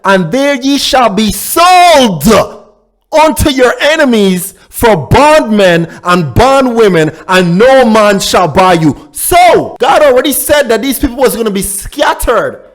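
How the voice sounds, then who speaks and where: A man from Guyana preaches in a fairly high voice. He reads scripture slowly, with drawn-out, sing-song emphasis. Between the verses he drops into loose, casual street talk to explain them. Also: very animated. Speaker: religious teacher.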